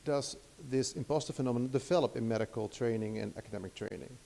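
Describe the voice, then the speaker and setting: A man's voice: medium pitch, fast talker, vocal fry, dark tone. A middle-aged Dutch man with a Dutch accent giving an academic lecture in English, hesitant, with many restarts and fillers. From Netherlands. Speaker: guest lecturer.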